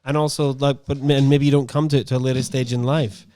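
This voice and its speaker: A man's voice: mid-range voice, little pitch movement, quick pace, dark tone, smooth, no room sound, clean studio sound. A man in his thirties with an Australian accent, a musician in casual talk, blunt and plain-spoken with frequent swearing. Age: 30s. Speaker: musician guest. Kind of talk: casual chat.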